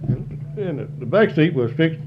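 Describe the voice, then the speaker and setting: An elderly man of seventy recalls old times in a loose, folksy ramble, circling back and repeating words. His voice comes through muffled, lo-fi tape with a clear hiss behind it. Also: fairly high pitch, lively intonation, normal speed. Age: 70s. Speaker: interviewee. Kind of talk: oral history reminiscence.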